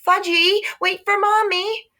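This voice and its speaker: A woman voicing a doting, harried mother in a children's story, coaxing and expressive. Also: very high-pitched, slow, pitched above the performer's own voice, full character voice. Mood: hollering. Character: doting, harried mother of two young boys.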